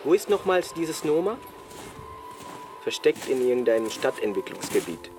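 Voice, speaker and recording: A male narrator with a mid-range voice reads a first-person account in brief sentences. Music plays clearly behind him. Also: medium pitch, sing-song, moderate pace. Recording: prominent music under the voice.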